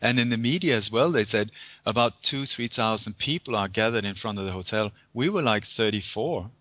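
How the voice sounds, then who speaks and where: A man from Sweden, a guest on a call-in style interview, telling stories in an animated way. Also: fairly quick, no room sound. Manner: animated storyteller, acts out phone calls in high voices. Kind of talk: casual interview talk. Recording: dull lo-fi audio.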